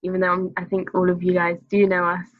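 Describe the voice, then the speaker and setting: A woman's voice: lowish voice, quick pace, no room sound, narrow, tinny audio. Young woman from the UK on a dry video call. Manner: clear, steady, reads out statistics. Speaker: seminar co-host. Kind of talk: online seminar presentation.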